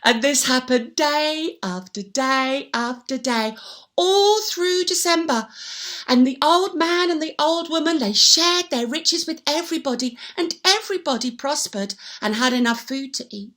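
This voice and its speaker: A woman telling a children's story in an animated, dramatic manner, with repeated sound words and hushed asides. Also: high voice, unhurried.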